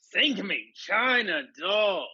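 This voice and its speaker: Man acting slow, high-pitched drunken sailor. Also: animated, pushed and bright, husky, muffled recording, exaggerated voice. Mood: leering. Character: rowdy, leering drunken foreign sailor. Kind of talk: stage play reading.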